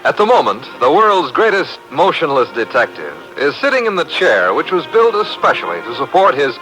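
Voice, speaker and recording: A man announcing on 1940s radio with bright, emphatic promotional delivery. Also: on the high side, sing-song, normal speed, faint music behind, rough recording.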